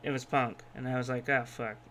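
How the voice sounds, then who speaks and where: A brash man co-hosting a casual wrestling podcast, swearing heavily and telling things in an animated way. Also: mid-range voice, brisk. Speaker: podcast co-host.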